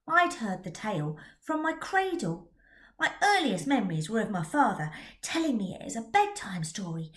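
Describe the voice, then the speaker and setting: Woman author reading with dramatic whispers. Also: medium pitch. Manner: dramatic, with hushed whispers on suspense. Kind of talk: reading her novel aloud.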